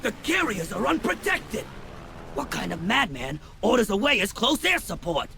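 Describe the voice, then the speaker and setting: An actor playing an alarmed, indignant airship officer in a game cinematic, agitated and incredulous. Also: male voice, high voice, huge pitch swings, normal speed, vocal fry, background noise, exaggerated voice. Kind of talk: video game cinematic acting.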